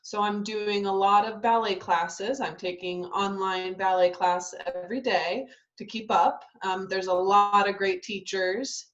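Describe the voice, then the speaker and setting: A young woman, a ballet dancer, chatting easily over a video call. She talks in a relaxed way, full of ums and restarts, at a middle pitch. The audio is slightly dull and lo-fi. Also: a little slow, few pauses. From Italy, raised in Southern California.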